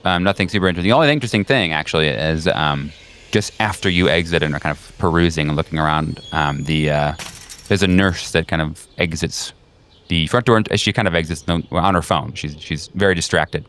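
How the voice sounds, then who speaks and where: Low smooth guy game mastering, kinda halting and chatty, describing the scene as he goes. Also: a bit bright. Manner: halting, conversational. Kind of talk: improvised tabletop game narration.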